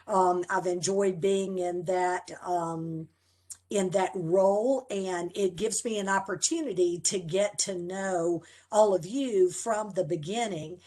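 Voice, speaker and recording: A woman, the program coordinator, introducing faculty members one by one on a video call in a measured, formal way. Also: on the low side, a little mellow. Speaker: program coordinator hosting orientation.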